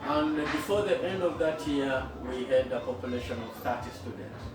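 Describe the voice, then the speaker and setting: A middle-aged man with a Kenyan accent, a school founder, telling his story in an interview in an earnest, unhurried way with frequent fillers. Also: medium pitch, over music. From western Kenya. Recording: echoey room, far from the mic, with noticeable background noise.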